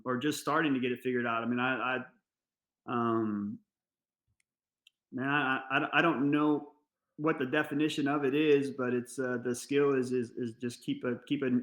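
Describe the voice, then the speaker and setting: A middle-aged man, a fire service guest on a podcast, talking earnestly and haltingly with many restarts. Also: mid-range voice, pushed and bright. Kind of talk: casual interview chat. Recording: echoey room.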